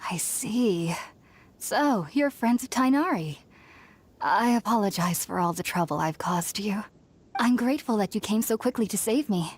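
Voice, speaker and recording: A woman voicing a weakened, gentle young woman in a video game, breathy, hesitant and polite. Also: mid-range voice, expressive, moderate pace. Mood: grateful, apologetic. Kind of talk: video game character acting.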